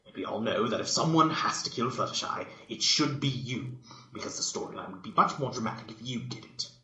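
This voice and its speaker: A man's voice: medium pitch, animated, fairly quick, bright, forceful tone, reverberant, lo-fi sound. A man voicing a bossy, impatient female cartoon unicorn pony with a scolding, emphatic delivery in a fan story reading. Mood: bossy, irritated.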